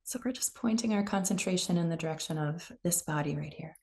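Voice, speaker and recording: Low, mellow woman guiding meditation. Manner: gentle, unhurried, soothing. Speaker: meditation teacher. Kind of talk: guided meditation.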